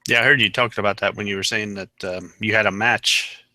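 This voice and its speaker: Ohio guy in a chat, lots of ums, sharp tense voice, dry sound. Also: fairly low pitch, fairly even intonation, fairly quick, no echo. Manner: hesitant, many ums and uhs. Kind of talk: casual group chat.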